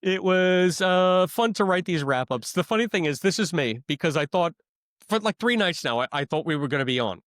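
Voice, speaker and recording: A man's voice: sits high, expressive, brisk, fairly bright tone, dry recording, clean studio sound. A man co-hosting a podcast in a casual, chatty review style. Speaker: podcast co-host. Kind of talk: casual review chat with recaps.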